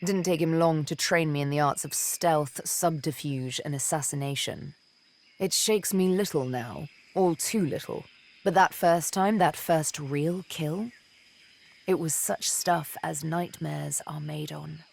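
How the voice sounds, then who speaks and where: A woman playing a seductive, vengeful elven assassin and former slave in a video game, speaking in a deliberate, theatrical, teasing manner. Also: low-pitched, gravelly. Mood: haunted, bitter. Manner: deliberate, teasing, dramatic storytelling with pauses. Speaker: video game companion character.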